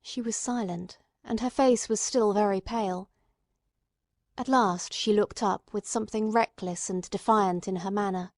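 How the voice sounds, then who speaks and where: A woman's voice: mid-range voice, steady tone, a little slow, no echo, clean studio sound. A woman reading scripted literary narration as an audiobook narrator.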